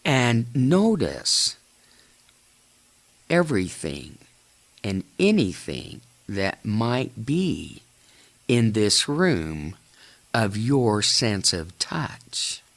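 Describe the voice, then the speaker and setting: A man narrating a guided imagery meditation in short, measured phrases with long pauses between them. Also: fairly low pitch, huge pitch swings, creaky, fairly bright tone.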